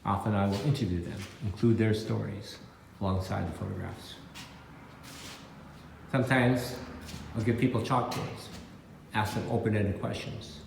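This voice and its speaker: A man in his sixties with an American Midwestern accent, giving a prepared keynote talk as a photographer in measured, deliberate phrases. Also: lowish voice, fairly even intonation, gravelly. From Minnesota, United States. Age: 60s. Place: indoor gallery, recorded on location. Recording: echoey gallery room with faint background noise.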